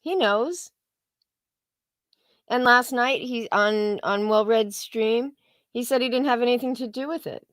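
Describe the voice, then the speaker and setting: A woman's voice: on the high side, a little slow, pauses often, a bit bright, no room sound, clean studio sound. A woman co-hosting a livestream, talking casually and opinionatedly in an informal, matter-of-fact way. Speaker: co-host of a livestream.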